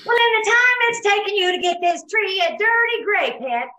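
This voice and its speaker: A woman acts a sharp-tongued, patient wife in an old radio comedy. Her voice is extremely high and comes with an echoey room sound. She teases wryly. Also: expressive, normal speed, slight background noise, exaggerated voice. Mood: wry teasing. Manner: teasing, wry. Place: indoors on a live Zoom call. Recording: echoey room on video call.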